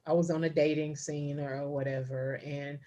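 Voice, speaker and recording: A woman's voice: low voice, level tone, normal speed, mellow, lo-fi sound. A middle-aged woman co-hosting a podcast, telling stories casually with lots of fillers and restarts. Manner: storytelling, many fillers and restarts. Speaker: podcast co-host. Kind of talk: casual chat.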